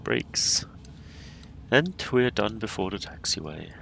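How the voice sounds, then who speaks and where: Gravelly low-voiced man narrating a flight. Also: very animated, slow, a bit bright, slight background noise. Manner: talks through checklists and landings step by step, playful asides. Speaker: flight simulator streamer. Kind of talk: casual gameplay commentary.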